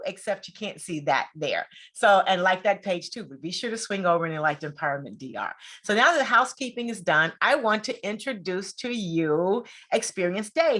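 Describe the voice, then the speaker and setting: A woman's voice: medium pitch, expressive, normal speed, few pauses, sharp tone. An American woman hosting a podcast in a chatty, informal, conversational way. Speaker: podcast host.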